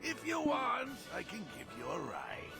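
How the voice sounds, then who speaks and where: An actor playing a cheeky, unflappable ship captain in a video game, with a theatrical drawl and a gravelly voice. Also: male voice, very high-pitched, huge pitch swings, a little slow, full character voice. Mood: teasing, breezy. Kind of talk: video game character acting. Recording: loud music behind the voice.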